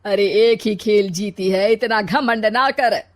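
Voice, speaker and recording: A woman's voice: mid-range voice, takes it slowly, lightly acted. A woman playing a bossy, plotting older mother-in-law in a comic family story, dramatic and complaining. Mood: competitive.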